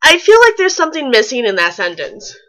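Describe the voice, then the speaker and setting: Bright, high-pitched woman, very lively reader. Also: fairly quick. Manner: wry, nitpicking. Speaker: fan fiction reader and commentator. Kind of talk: reading a story aloud with mocking asides.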